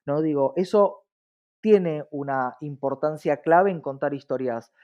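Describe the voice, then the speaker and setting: Higher-voiced, earnest Argentine man, slightly dull sound. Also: a little slow. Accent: Rioplatense Argentine Spanish. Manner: earnest, emphatic repetition and rhetorical questions. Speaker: interview guest, diversity specialist. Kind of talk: reflective explanatory interview talk.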